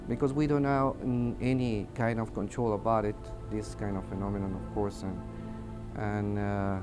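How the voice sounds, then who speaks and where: Halting low-voiced man with Mexican Spanish accent. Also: gravelly. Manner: halting, hesitant, searching for words in non-native English. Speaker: air traffic controller giving witness testimony. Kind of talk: interview testimony. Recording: music under the voice. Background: background music.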